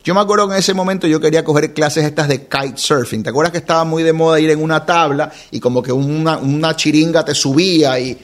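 A man in his forties from Ecuador, chatting as an interview guest, telling stories in an animated way and laughing often. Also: a little high, fast talker, few pauses. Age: 40s. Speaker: podcast guest, wine content creator. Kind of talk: casual interview storytelling.

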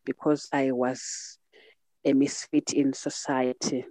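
Mellow middle-aged Zimbabwean woman telling her story. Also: a bass voice, little pitch movement, takes it slowly, dry recording. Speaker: podcast guest. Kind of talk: reflective personal storytelling in an interview.

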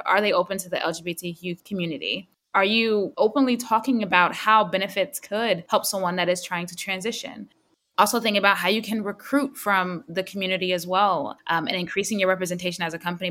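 A panelist with a feminine voice, telling career stories candidly and matter-of-factly. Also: female voice, fairly low pitch, sharp tone. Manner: candid, storytelling, matter-of-fact. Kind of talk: panel discussion.

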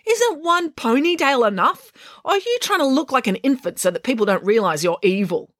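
A woman voicing a panicky, defiant girl in a children's storybook, breathless and prone to wailing and yelling. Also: sits high, big pitch swings, fairly quick, no room sound, studio quality, pitched above the performer's own voice. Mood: angry, scornful. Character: panicky, defiant girl desperate to escape a bus.

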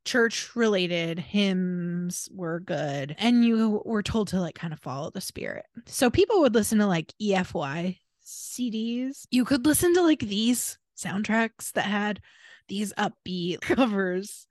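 A woman's voice: mid-range voice, animated, measured pace, darkish tone, no room sound, studio quality. An American woman co-hosting a casual podcast, telling stories in an irreverent, exasperated way with emphatic asides. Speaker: podcast co-host.

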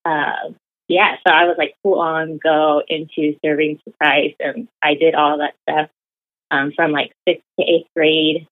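A young woman with a deep, close, dry voice tells her story in a loose, chatty way. Her talk is full of fillers. The sound is narrow and tinny, like a phone line. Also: level tone, a little slow, no echo. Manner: chatty, many fillers like "like" and "you know". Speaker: interviewee. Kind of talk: casual conversational storytelling.

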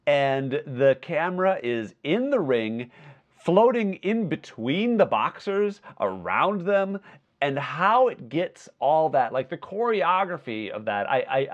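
A man co-hosting a podcast in a casual film discussion, talking in a halting, wordy way as he thinks aloud. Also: on the high side, big pitch swings, muffled recording. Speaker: podcast co-host.

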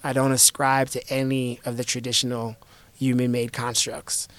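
A man in his thirties from the United States, an investor guest talking reflectively in an interview, in a measured way with repeated starts. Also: mid-range voice, little pitch movement, a bit bright, dry recording. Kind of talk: conversational interview.

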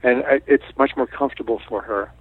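A middle-aged man from Canada, Italian as his first language, talking in a thoughtful, unhurried way with frequent restarts in an interview. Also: medium pitch, creaky, slightly rough, no room sound. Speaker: guest artist. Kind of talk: casual interview talk. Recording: tinny phone line.

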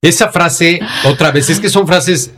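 A man's voice: fairly high pitch, a little slow, fairly bright tone. A man with a Mexican Spanish accent, born in Denver and raised in Mexico, co-hosting a casual podcast chat and mocking phrases in put-on voices. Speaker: podcast co-host.

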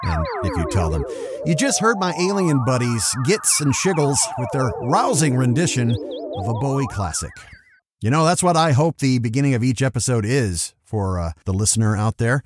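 A man's voice: mid-range voice, big pitch swings, normal speed, over loud music. A jokey, theatrical man hosting a scripted comedy podcast like a voice actor, close on the mic.

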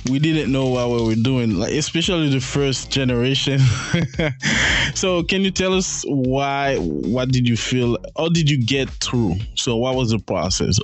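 Slow-talking male podcast host. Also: medium pitch, lively intonation. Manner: slow, laughing, repeats himself when asking. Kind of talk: casual interview chat.

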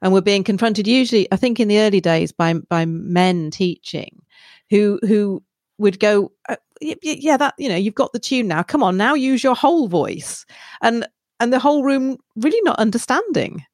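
A woman's voice: medium pitch, expressive, normal speed, darkish tone, no echo, clean studio sound. A woman podcast host in conversation, reflective and hesitant, often breaking off mid-thought. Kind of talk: conversational interview.

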